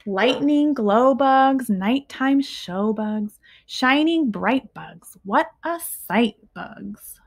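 A young adult woman reading a children's picture book aloud expressively, with deliberate, emphatic phrasing and pauses between short phrases. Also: fairly high pitch, expressive, creaky. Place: small living room at home, indoors.

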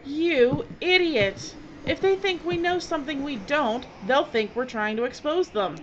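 An actress playing a bossy, impatient, sharp-tongued filmmaker in an audio drama. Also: female voice, high voice, animated, measured pace. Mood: urgent, scolding. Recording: dull, noisy audio drama recording.

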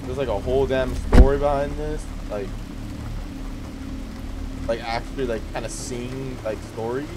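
A man's voice: mid-range voice, steady tone, normal speed, long pauses, very noisy. A young man giving casual, slangy gameplay commentary as the host of a gaming video, swearing freely. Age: young adult. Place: small bedroom, indoors.

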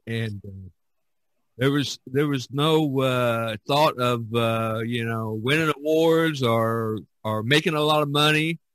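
A man hosts a relaxed podcast chat, his voice sitting at an ordinary middle pitch. He talks haltingly, stopping often on fillers and starting phrases over. The sound is dry and close, with no room around it. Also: no room sound. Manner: halting, many fillers and restarts. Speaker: podcast host. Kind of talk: casual conversation.

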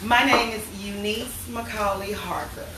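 A woman's voice: medium pitch, a little slow. A woman who owns a shop, casually introducing herself on camera. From Kansas City, Missouri, USA. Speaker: guest cook, shop owner. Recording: echoey room with noise, far from the mic.

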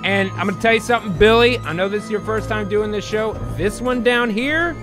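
A man with a high-pitched voice narrates and hosts a comic stream. He is casual and irreverent, close on the microphone, with clear music behind. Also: fairly quick. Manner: irreverent, profane. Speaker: host and narrator. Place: home room on a video call.

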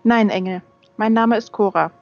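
A woman voicing an elderly, wiry canoness with a warm, courteous temper in a fantasy audiobook. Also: medium pitch, normal speed, close to an everyday voice. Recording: dull lo-fi sound, music behind.

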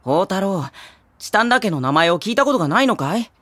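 A man playing a cheerful, excitable teenage schoolboy who gives animated battle commentary in a comedic anime audio drama. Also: high voice, brisk, dry recording, full character voice. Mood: eager explaining. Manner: animated, expository, awestruck. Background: music.

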